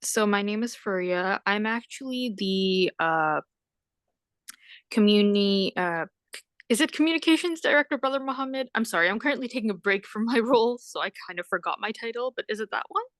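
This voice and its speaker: A woman's voice: medium pitch, animated, brisk, a bit bright, no room sound, studio quality. A woman introducing herself casually and a little unsurely on a video call. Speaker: outreach director.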